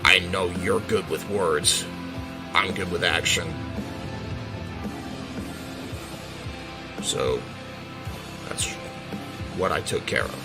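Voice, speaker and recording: A brooding, bullied teenage son played by a man in an audio drama. His voice is very deep and creaky, and he explains himself in halting, earnest phrases, defensive and protective of his family, with music underneath. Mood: defiant, protective. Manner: halting, hesitant, earnest confession. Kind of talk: amateur audio drama roleplay.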